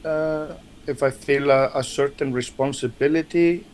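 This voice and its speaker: A man from Iceland, Icelandic his first language, speaking English in a casual interview, soft-spoken and hesitant, often repeating words. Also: mid-range voice, fairly even intonation, normal speed, a little hiss or hum. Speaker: band member, interview guest.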